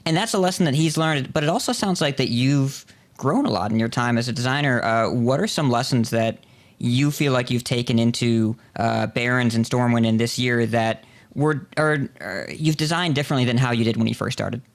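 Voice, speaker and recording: A man's voice: medium pitch, moderate pace. A man hosting a podcast, putting questions to a guest in a casual interview style.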